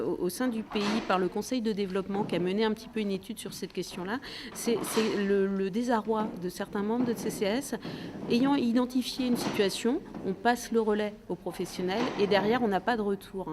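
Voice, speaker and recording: A woman's voice: medium pitch, steady tone, a little fast, noisy background. A woman speaking as a local official in an unscripted way, searching for words and restarting her phrases. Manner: hesitant, searching for words, repeating phrase starts. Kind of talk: meeting talk and interview, unscripted.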